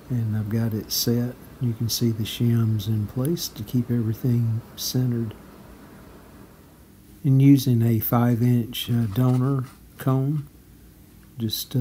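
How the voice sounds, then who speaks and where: A man's voice: medium pitch, dark tone, slight background noise. A man giving a clipped, matter-of-fact how-to voice-over as he narrates repair work step by step. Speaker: radio restorer and repair channel host.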